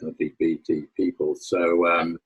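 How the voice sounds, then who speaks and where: A man's voice: deep voice, little pitch movement, unhurried, breathy edge, muffled recording. A man interviewing politely, hesitating often with filler sounds. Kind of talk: casual interview.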